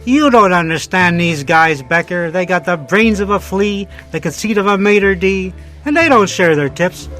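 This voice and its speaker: A man's voice: high-pitched, lively intonation, moderate pace, bright, forceful tone, soft background music. A man playing a cheeky, cynical hotel bellhop who wisecracks and bargains in a radio drama. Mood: cynical, wry. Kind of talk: detective radio drama acting.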